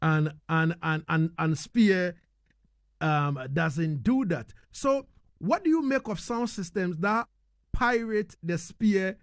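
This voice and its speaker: A man with a notably high voice hosts a radio music interview in a very dry, close sound. He feels his way into questions, repeating words, and restates points with emphasis. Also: animated, takes it slowly, no echo. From Ghana.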